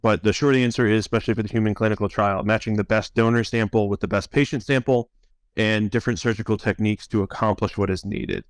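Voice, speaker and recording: Male science officer for a Q&A call: low, crisp voice, informal, measured explanations, close dry sound. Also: fairly even intonation, no echo. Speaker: chief science officer answering donor questions.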